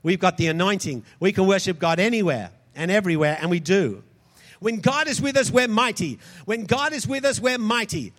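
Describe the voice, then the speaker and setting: A man preaching a sermon, impassioned, swinging between loud shouts and soft, quick asides. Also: high-pitched, lively intonation. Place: large hall converted into a church.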